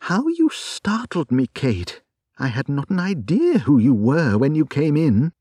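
Man playing a calm Victorian wife in a storybook reading, slow and gentle, with a husky voice. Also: on the high side, no echo, clean studio sound, voice pushed up, exaggerated voice. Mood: soothing. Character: kindly composed Victorian wife, gently soothing.

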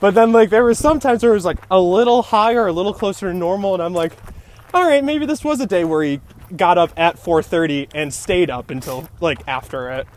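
A young man co-hosting a casual podcast, his voice sitting a little higher than most men's. He rambles loosely with plenty of fillers and breaks into laughter often. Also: fairly quick. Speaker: podcast co-host. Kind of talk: casual chat.

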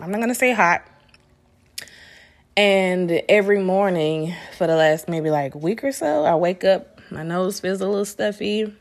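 A woman hosting a casual solo podcast, talking bluntly with lots of swearing, restarts and a stuffy, congested nose. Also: deep voice. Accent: Southern US. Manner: blunt, profane, rambling with restarts. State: congested from allergies. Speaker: podcast host.

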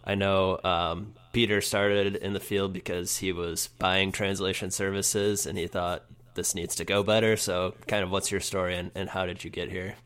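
A man's voice: deep voice, level tone, moderate pace, hardly any pauses, fairly bright tone, smooth. A man co-hosting an interview, asking questions in an easygoing conversational way. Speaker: podcast co-host.

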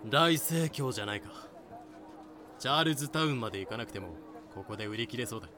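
A man's voice: mid-range voice, expressive, quick pace, noisy background, close to an everyday voice. A man acting a businesslike slave trader in a fan-made audio drama, with a polite showman's sales patter. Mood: matter-of-fact.

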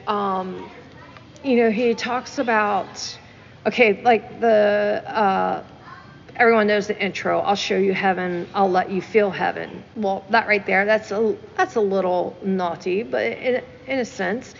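A woman's voice: medium pitch, steady tone, measured pace, gravelly, big-room sound, muffled recording, a little hiss or hum. A woman co-hosting a casual talk show, discussing music emphatically and repeating phrases for stress. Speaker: podcast co-host. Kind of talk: casual discussion of song lyrics.

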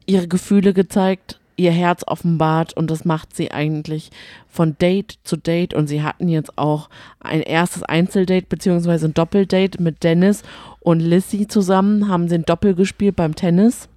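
A woman co-hosts a casual recap show in a relaxed, slightly low voice. She is chatty and opinionated, slipping quotes into her retelling. The sound is dry and close. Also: no echo. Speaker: podcast co-host. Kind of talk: casual chat recapping reality TV.

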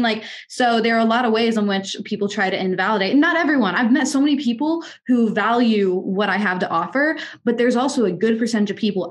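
A twenty-year-old woman from Kansas City, a music artist and executive, telling her story in an interview with earnest, articulate emphasis. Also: a little high. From Kansas City, United States. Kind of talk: candid conversational storytelling.

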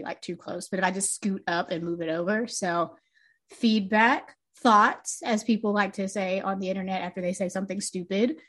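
A young woman co-hosting a music podcast, her talk loose and casual, sprinkled with swearing and laughter. She calls her own voice pitchy and a little grating. The sound is close and intimate, right up on a desk microphone. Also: fairly bright tone. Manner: chatty, loose and profane, laughs often. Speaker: podcast co-host. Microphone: close desk mic.

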